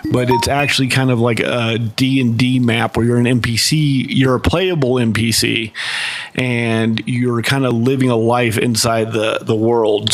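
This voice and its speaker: Male streamer, casual rambling explainer, mid-pitch voice, lots of fillers. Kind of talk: casual live-stream chat.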